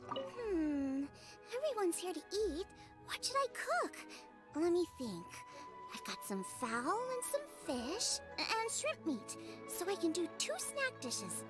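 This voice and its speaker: Very high-pitched, chirpy young girl cook. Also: very animated, slow, music in the background, heavily acted. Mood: eager, musing. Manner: chirpy, bubbly, thinking aloud. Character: cheerful, eager young girl who cooks. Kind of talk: scripted anime-style video game dialogue.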